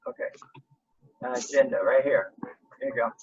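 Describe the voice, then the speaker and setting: A man with a husky voice talking in a wry, self-mocking way on a video call. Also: mid-range voice, normal speed. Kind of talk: casual meeting chat. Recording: muffled lo-fi video call audio.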